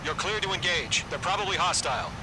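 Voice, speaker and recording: An actor playing a composed, careful military air controller over the radio in a video game. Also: male voice, a little high, lively intonation, quick pace, very noisy. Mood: alert. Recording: radio voice under loud game music.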